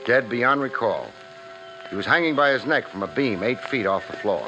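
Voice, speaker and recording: A man's voice: medium pitch, animated, normal speed, natural voice. Man playing a wry radio detective, music behind. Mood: wry narration. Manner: wry, casual, clipped wisecracks. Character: wisecracking, laid-back male private detective. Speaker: detective lead and first-person narrator. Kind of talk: 1950s radio drama acting, hardboiled narration. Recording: dull old radio recording.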